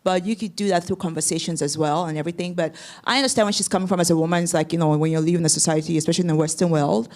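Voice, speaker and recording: A man from Cameroon, a church congregant, speaking earnestly and haltingly, often restarting his sentences. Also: on the high side, fairly even intonation, darkish tone.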